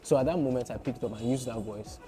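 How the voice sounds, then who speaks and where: A man with a soft voice, chatting playfully as a guest about his work. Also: medium pitch, quick pace. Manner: playful, joking storyteller. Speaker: guest content creator. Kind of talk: casual interview chat. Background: background music.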